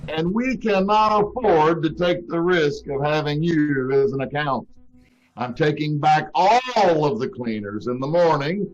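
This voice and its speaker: Through dull, lo-fi audio, a man acts out an exasperated salesman in an old radio comedy. His voice sits rather high and he protests with spluttering, indignant disbelief. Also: measured pace, natural voice. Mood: exasperated, sarcastic. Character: exasperated vacuum cleaner salesman, indignant businessman. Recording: dull lo-fi video call audio.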